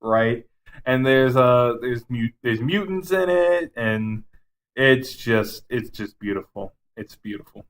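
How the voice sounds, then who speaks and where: A man's voice: mid-range voice, lively intonation, slow pace. A man co-hosting a podcast, casually and enthusiastically rambling through his favorite game movies with some swearing. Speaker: podcast co-host.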